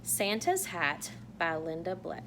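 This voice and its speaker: A woman reading a picture book aloud to young children in a playful, animated way, asking exaggerated wondering questions. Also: fairly low pitch, slow, noisy background. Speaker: children's read-aloud host.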